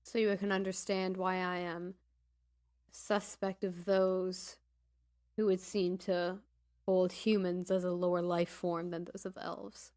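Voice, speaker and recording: A woman voicing a wary, curious female adventurer in a tabletop game, with formal, deliberate questioning. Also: on the low side, flat delivery, darkish tone, clean tone. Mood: suspicious.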